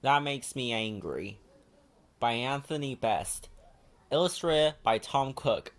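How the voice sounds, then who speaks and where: Man, children's story reader, expressive scripted narration, mid-range pitch. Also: slow.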